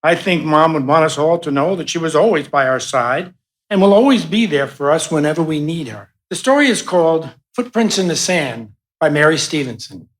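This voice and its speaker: A man acting in a radio play as a grieving, hopeful young son giving an earnest, measured eulogy for his mother. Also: on the high side, animated, lightly acted. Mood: earnest, tender.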